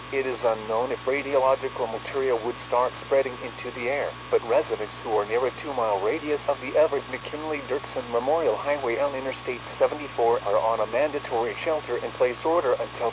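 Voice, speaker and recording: A man's voice: mid-range voice, natural voice. A man's voice as an emergency alert announcer, reading scripted warnings in a formal, impersonal, official manner. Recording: noisy tinny radio-like narrow band.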